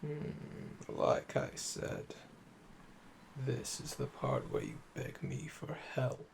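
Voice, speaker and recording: Casting: male actor as smug yandere assassin for roleplay audio, heavy vocal fry, slow seductive drawl, noisy ambience behind. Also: mid-range voice, huge pitch swings, full character voice. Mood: smug, insistent. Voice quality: gravelly, fry-laden, often dropping to breathy murmur. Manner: slow, teasing, seductive, drawling pet names. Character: calm, flirtatious yandere assassin in custody, smug and menacing. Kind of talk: roleplay audio acting, intimate ASMR.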